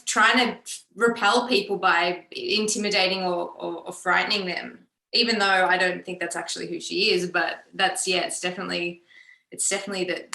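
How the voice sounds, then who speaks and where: An actress from Melbourne with an Australian accent, talking in an animated, slightly halting way in an interview. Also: female voice, on the low side, creaky, echoey room, rough recording. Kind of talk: casual interview.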